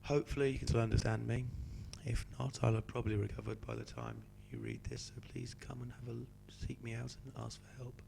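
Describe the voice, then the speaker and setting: A male teacher with a cold, hoarse and croaky, patiently talking students through a homework sheet. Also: medium pitch, animated, fairly quick, hardly any pauses, darkish tone, slight background noise. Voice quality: croaky, slips into whispery breaks. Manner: patient, explaining step by step. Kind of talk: homework help walkthrough.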